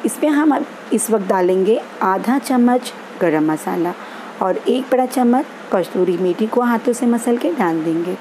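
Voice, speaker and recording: A woman hosting a home cooking channel, calmly explaining each step of a recipe in an easygoing, instructive way. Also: mid-range voice, measured pace, background noise. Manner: easygoing and instructive, repeats steps. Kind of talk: home cooking tutorial voice-over. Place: home kitchen.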